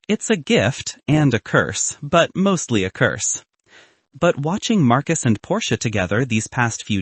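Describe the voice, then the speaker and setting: A man's voice: mid-range voice, slightly rough, no room sound. A man reading the part of a cynical, self-deprecating best man in an audiobook romance, wry yet earnest and confessional. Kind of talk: audiobook character dialogue.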